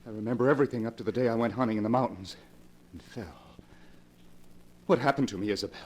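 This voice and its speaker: An actor playing a lovesick, tormented husband under a spell, theatrical and volatile in a 1940s radio horror show. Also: male voice, mid-range voice, fast talker, natural voice. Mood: lovesick pleading. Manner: theatrical, swinging from hushed to frantic. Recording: noisy vintage 1940s radio recording.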